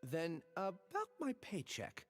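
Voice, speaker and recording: A man's voice: sits high, wildly swooping pitch, takes it slowly, soft background music, full character voice. A man playing a cool, matter-of-fact scholar in a video game.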